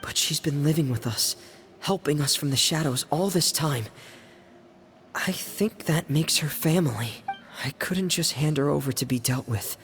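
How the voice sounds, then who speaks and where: A man voicing a quiet, earnest, reserved young man in a video game. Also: on the high side, normal speed, slight background noise. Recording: game audio with music.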